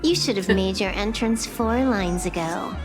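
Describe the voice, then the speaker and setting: A woman voicing a wry, teasing young female hacker in a game, speaking in an unhurried, dry manner. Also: mid-range voice, close to an everyday voice. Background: game music.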